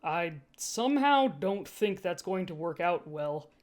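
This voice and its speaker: A woman voicing a reserved security android in an audiobook, speaking in a dry, flat, understated manner. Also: lowish voice, a little slow. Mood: dry, unimpressed.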